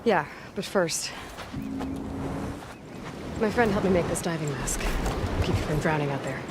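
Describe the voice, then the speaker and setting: A woman's voice: low-pitched, animated, a little slow. Determined young warrior woman, noisy ambience. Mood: practical, focused. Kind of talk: video game cutscene acting.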